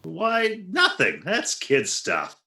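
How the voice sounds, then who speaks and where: A man's voice: a little high, huge pitch swings, slow pace, creaky, bright, forceful tone, exaggerated voice. Flustered radio-comedy husband with swooping inflections, acted by a man. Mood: uneasy, suspicious. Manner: flustered, incredulous, wry. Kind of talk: re-enacted 1940s radio comedy acting. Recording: roomy, echoing voice recording.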